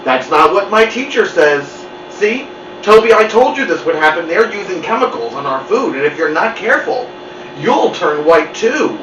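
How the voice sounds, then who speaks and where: A man's voice: high voice, sing-song, normal speed, pitched above the performer's own voice. A man voicing a teasing, know-it-all boy in a children's storybook. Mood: smug. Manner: assertive, teasing. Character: cheeky know-it-all young boy, teasing big brother. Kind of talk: children's storybook read-aloud. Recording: muffled, roomy, distant mic with noise.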